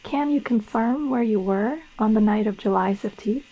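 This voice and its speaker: A woman playing a police detective who questions a suspect in a formal, measured way in an acted interrogation recording. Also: fairly high pitch, dark tone, lo-fi sound. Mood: formal, probing.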